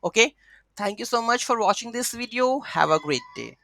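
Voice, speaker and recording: Bright-voiced man giving a halting coding tutorial. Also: extremely high pitch, lively intonation, creaky, no echo. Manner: halting, repeats words, checks with "right?". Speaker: tutorial presenter. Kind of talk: unscripted screen-share coding tutorial.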